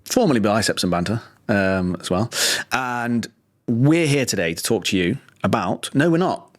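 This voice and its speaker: A man's voice: lowish voice, expressive. A man in his thirties, co-hosting a casual business podcast with chatty banter and lots of fillers. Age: 30s. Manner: quick, chatty, full of fillers and restarts. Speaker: podcast co-host.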